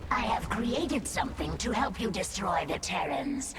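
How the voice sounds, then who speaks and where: A woman playing a fierce, devoted alien brood queen in a video game, breathy and formal, over loud music. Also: mid-range voice, animated, measured pace. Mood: proud menace. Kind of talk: video game cutscene acting. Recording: loud cinematic music behind.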